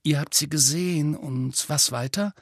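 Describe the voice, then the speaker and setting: A man voicing an eager teenage boy wizard who presses with quick questions. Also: on the high side, no room sound, clean studio sound, voice pushed up. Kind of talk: audiobook character voice.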